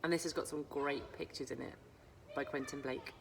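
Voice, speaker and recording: A woman narrating a children's storybook as a librarian would, with dramatic pauses and emphasis. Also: sits low, steady tone, gravelly, background noise. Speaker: school librarian reading aloud.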